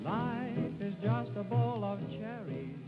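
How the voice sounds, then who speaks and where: Slow singing woman, muffled recording. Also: mid-range voice, expressive. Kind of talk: old-time popular song, sung. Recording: dull lo-fi recording with loud music.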